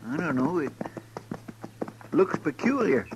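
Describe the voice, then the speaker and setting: Folksy cowboy sidekick, highish voice, simple n loyal, old radio show w noise and hooves. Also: male voice, big pitch swings, a little slow, lo-fi sound. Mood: puzzled, uneasy. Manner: respectful, hesitant, homespun. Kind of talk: 1950s radio western acting. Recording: noisy vintage radio recording, horse hoof effects.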